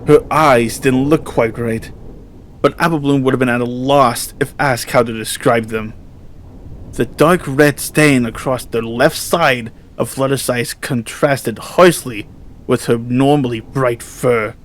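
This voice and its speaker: A man reading scripted horror fiction as narrator in a measured, solemn storytelling manner. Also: mid-range voice, steady tone, slight background noise.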